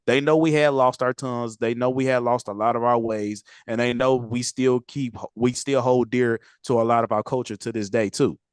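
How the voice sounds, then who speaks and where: A man's voice: medium pitch, fairly even intonation, normal speed, hardly any pauses, clear, resonant tone, dry recording, studio quality. A man hosting a casual podcast chat, blunt and preachy, swearing freely. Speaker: channel host.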